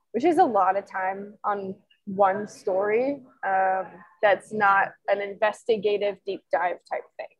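A woman's voice: medium pitch, poor audio. A woman in her twenties, a sports columnist, answering interview questions in a chatty, rambling way full of fillers. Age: 20s.

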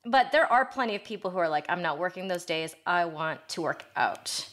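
A woman's voice: fairly low pitch, animated, brisk, fairly bright tone. A woman in her thirties co-hosting a podcast, chatting in an upbeat, casual way with many fillers. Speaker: podcast co-host. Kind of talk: casual chat.

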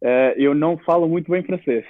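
A man's voice: a little high, a little fast, dry recording. A man in his twenties from Spain with a Spanish accent, speaking Portuguese as a guest entrepreneur, hesitant with many restarts. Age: 20s. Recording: tinny phone-like line.

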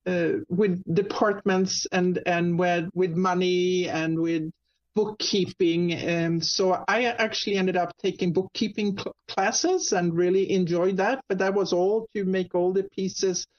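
A woman from Sweden with a Swedish accent, a guest telling her career story in a talk show interview, pausing often with ums and restarts. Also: lowish voice, dull audio. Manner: halting, many ums and restarts. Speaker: guest, marketing business owner.

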